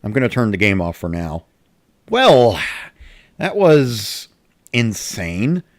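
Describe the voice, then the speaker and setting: A man's voice: fairly low pitch, very animated, slow pace, dry recording, clean studio sound. A man giving a scripted first-person narration, like a diary of playing a video game. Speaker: narrator of a dramatic reading.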